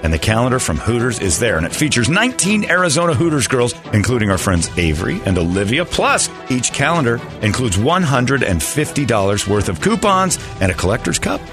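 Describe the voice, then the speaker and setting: A man's voice: mid-range voice, very animated, fairly quick, few pauses, over music. A man hosting a radio show with sarcastic, casual comedic banter.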